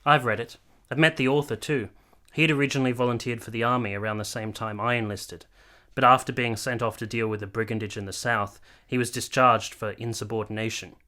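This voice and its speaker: A male actor plays a gentlemanly nineteenth-century army captain for radio drama. His mid-range voice is polite and formal, with an earnest, love-struck sincerity. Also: medium pitch, fairly even intonation, brisk, close to an everyday voice. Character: courteous, smitten young army captain in 1870s Italy. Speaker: actor and narrator in a radio play. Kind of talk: radio drama acting, partly first-person narration.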